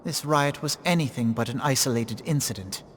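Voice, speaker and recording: A man playing an articulate, self-possessed gentleman in a fantasy video game, speaking formally and eloquently. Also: mid-range voice, normal speed, lightly acted. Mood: concerned. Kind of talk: video game cutscene acting. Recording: long reverb, faint background noise.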